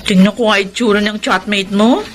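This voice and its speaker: An actress with a Filipino accent playing a nosy, teasing older sister in a radio drama. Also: female voice, medium pitch, fairly even intonation, moderate pace, a little hiss or hum, natural voice. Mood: teasing, encouraging.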